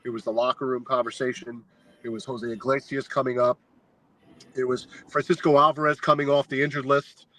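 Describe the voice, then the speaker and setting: A man as a podcast guest, telling a long fan story in a casual, animated, rambling way with lots of filler words. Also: medium pitch, a little fast, low-quality recording. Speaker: guest, fan sign maker.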